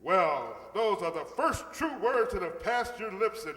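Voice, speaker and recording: A man's voice: high-pitched, sing-song, a little slow, pushed and bright, exaggerated voice. An actor playing a pompous, blustering wealthy older father in an old-time radio comedy. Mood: triumphant. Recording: echoey hall, stage radio play.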